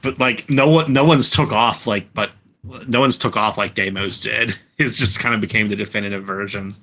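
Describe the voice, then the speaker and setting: A man hosting a casual group podcast, chatting in a halting, rambling way and often correcting himself. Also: on the low side, fairly even intonation, bright, forceful tone. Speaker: podcast host.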